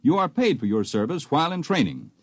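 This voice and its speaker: Upbeat 1950s radio ad announcer. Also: male voice, huge pitch swings, a little fast, husky, no room sound. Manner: upbeat persuasive pitch. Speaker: commercial announcer.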